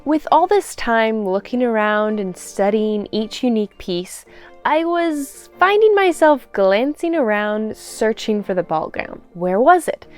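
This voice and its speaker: A woman's voice: fairly high pitch, animated, unhurried. Gushing woman vlogger with music behind. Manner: gushing, exclamatory. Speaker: vlogger and seamstress. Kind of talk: excited vlog narration.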